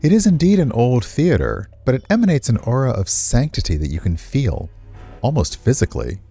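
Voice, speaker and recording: A man casually commenting and reading aloud as he streams a visual novel, chatty and expressive. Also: medium pitch, brisk, vocal fry, slightly rough, soft background music. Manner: chatty, expressive, reacts with asides to what is read. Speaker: streamer, let's player.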